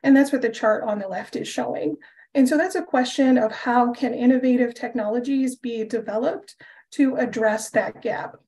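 A woman presenting slides on a panel in a clear, organized way. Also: on the high side, moderate pace, a little mellow. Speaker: panelist, utility representative.

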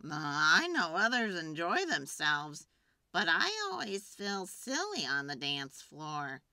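A woman's voice: sits low, big pitch swings, takes it slowly, sharp tone, dry recording, exaggerated voice. A woman voicing a self-conscious, squawking marabou stork in a children's storybook. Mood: embarrassed. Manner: squawking, confessional.

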